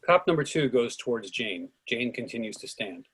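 Husky man reading stage directions. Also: medium pitch, animated, moderate pace, a bit bright. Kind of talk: scripted narration.

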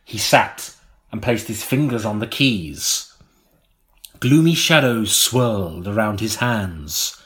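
A man reading a mock-serious gothic story as an audiobook narrator, with a melodramatic, deliberate delivery. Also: on the low side, lively intonation, fairly bright tone. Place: recording studio.

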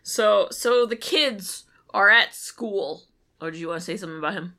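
A woman's voice: mid-range voice, moderate pace, bright, forceful tone. A woman co-hosting a podcast in casual comedic chat, sweary and playfully exasperated. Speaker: podcast co-host.

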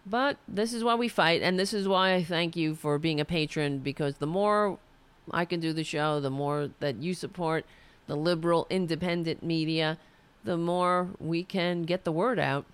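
A woman's voice: lowish voice, normal speed, clear, resonant tone. A woman hosting a political show, delivering an impassioned, ranting monologue while losing her voice. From United States. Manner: fiery, ranting, restarts mid-sentence.

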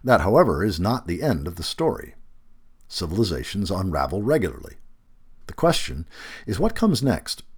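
Male narrator for long-form article. Low register, animated intonation, emphatic and deliberate phrasing. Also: slightly rough. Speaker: narrator reading a magazine feature aloud.

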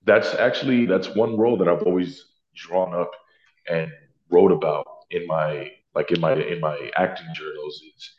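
A man with a deep baritone voice, talking earnestly as an actor guest in a casual interview, often pausing to correct himself. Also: fairly even intonation. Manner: earnest, thoughtful, self-correcting. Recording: roomy, slightly dull sound.

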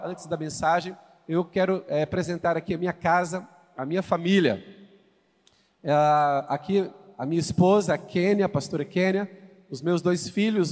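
A man in his fifties from Brazil with a Brazilian accent, a guest pastor addressing a congregation, exclamatory and asking the crowd questions. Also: high voice. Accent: Brazilian Portuguese, from Goiás. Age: 50s. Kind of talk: sermon introduction to a congregation. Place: church sanctuary. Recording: church hall reverb.

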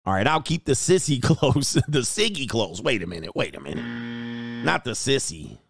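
A man's voice: medium pitch, very animated, normal speed, vocal fry, no echo, clean studio sound. A man hosting a casual livestream as a dating coach, reading viewer comments aloud in a joking, rambling way and laughing often. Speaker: dating coach and show host.

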